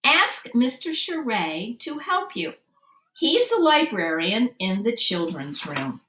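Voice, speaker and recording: Woman voicing female schoolteacher, storybook, firm, helpful, good-humored, bright tone, roomy sound. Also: a little high, big pitch swings, slow pace, full character voice. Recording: roomy, distant microphone.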